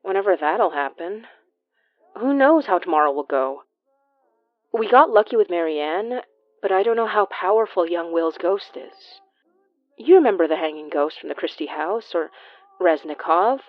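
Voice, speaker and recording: A woman's voice: on the low side, expressive, moderate pace, no room sound, narrow, tinny audio, soft background music, natural voice. A woman playing a worried, stubborn young woman who deals with ghosts in a naturalistic audio drama, speaking in an earnest, conversational way. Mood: resigned, stubborn.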